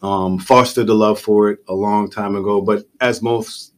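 A man's voice: on the low side, steady tone, normal speed. A Jamaican-born man raised in the Bronx talks casually and reflectively as a guest chef in a podcast interview, with plenty of colloquial phrasing. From Jamaica, raised in the Bronx, New York. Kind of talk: casual conversational interview talk.

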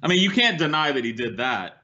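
A man's voice: on the high side, big pitch swings, a little fast, bright, forceful tone, muffled recording, slight background noise. An American man co-hosting a podcast, talking casually with blunt, skeptical swearing. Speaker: podcast co-host. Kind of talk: casual, profane chat.

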